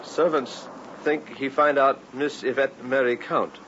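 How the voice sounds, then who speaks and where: An actor playing a humble, superstitious servant who speaks halting broken English in an old-time radio play. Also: male voice, mid-range voice, fairly even intonation, natural voice. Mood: fearful, deferential. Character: timid, superstitious male servant, humbly deferential. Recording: dull, noisy vintage radio recording.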